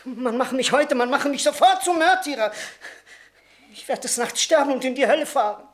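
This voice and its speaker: An actor playing a cowardly, dissolute drunkard posing as a martyr in a German radio play, whining, excitable and self-righteous. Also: male voice, very high-pitched, normal speed. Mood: panicked.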